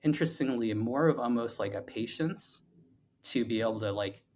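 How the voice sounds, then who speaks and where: A man in a reflective interview conversation, answering as a founder and guest. He explains step by step, often doubling back on words and padding phrases as he thinks aloud. His pitch sits in a middle male range. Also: a little mellow, a little hiss or hum. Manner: explanatory, halting with repeated words and hedging "like".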